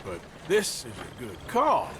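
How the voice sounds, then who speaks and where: An actor playing a middle-aged American Old West outlaw in a video game, folksy, dry and understated. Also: male voice, mid-range voice, huge pitch swings, unhurried, slight background noise. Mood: dry menace. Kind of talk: video game cutscene acting.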